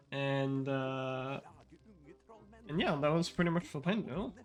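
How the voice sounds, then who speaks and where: A man giving relaxed live commentary on his own speedrun, explaining tricks in a casual, rambling way. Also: mid-range voice, slow pace. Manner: casual, explanatory, frequent fillers and restarts.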